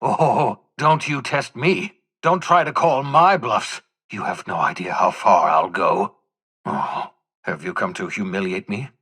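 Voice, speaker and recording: An older man acting as an embittered, desperate renegade scientist in a video game, with a raspy voice and a theatrical, sarcastic, erudite manner. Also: fairly low pitch, a little slow, pushed and bright, exaggerated voice. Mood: irritated.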